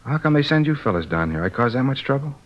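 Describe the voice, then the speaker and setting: A man's voice: medium pitch, very animated, fast talker, natural voice. An actor playing a sheepish hungover salesman being questioned by police, groggy and slangy, in a 1950s radio crime drama. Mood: uneasy. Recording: dull lo-fi old radio recording.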